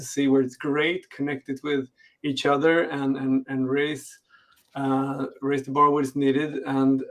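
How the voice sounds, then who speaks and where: Gravelly man with a Swedish accent. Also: mid-range voice. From Sweden. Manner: hesitant, many fillers and restarts. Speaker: games company executive guest. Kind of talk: conversational interview answers. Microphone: video call.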